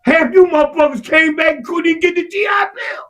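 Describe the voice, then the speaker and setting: A man in heated casual discussion, impassioned and profane, his voice rising to shouts. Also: extremely high pitch, a little fast. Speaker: podcast co-host.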